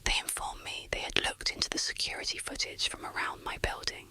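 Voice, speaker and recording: Someone narrating an ASMR horror story in a whisper, with deliberate, dramatic delivery. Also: bright, forceful tone.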